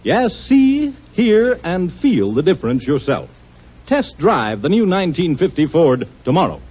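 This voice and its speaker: A man reading a 1950s radio car commercial with a smooth salesman delivery. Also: on the high side, big pitch swings, unhurried. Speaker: commercial announcer. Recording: dull old radio broadcast.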